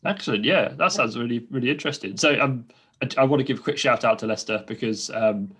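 A man's voice: mid-range voice, quick pace, fairly bright tone, husky. A man, hosting a casual podcast interview and rambling through restarts and fillers. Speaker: podcast host.

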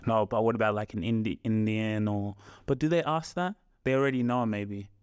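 A young man in casual conversation, asking questions and thinking out loud. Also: fairly low pitch, normal speed, clear, resonant tone, no room sound. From Australia. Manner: questioning, reflective. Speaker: podcast co-host, son.

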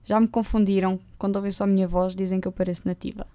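A young woman with a European Portuguese accent hosting her own podcast, chatting casually and poking fun at herself with quick asides. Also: medium pitch. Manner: joking, self-mocking, lively asides. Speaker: podcast host. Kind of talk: casual solo podcast chat. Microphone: close podcast microphone.

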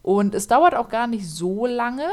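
A woman's voice: a little high, expressive, measured pace. A woman, co-hosting a casual podcast and narrating a true crime case, chatty with lots of fillers. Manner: chatty, laughs often, many fillers.

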